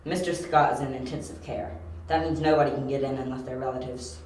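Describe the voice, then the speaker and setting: A young detective in an amateur radio play, acted by a woman, brisk and sure in the questioning. The voice sits low and has an echoey room around it. The delivery is terse, probing and self-assured. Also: fairly even intonation, darkish tone, a little hiss or hum. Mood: assured, businesslike. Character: sharp, curious young detective, confident and brisk. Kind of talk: amateur audio drama acting. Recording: roomy, echoey home recording.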